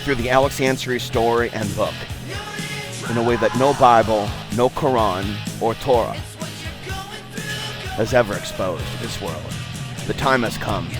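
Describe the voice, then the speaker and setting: A man's voice: mid-range voice, measured pace, long pauses, loud music behind the voice. A man delivering an impassioned, preachy monologue as an online video host, with a confrontational, grandiose and profane manner.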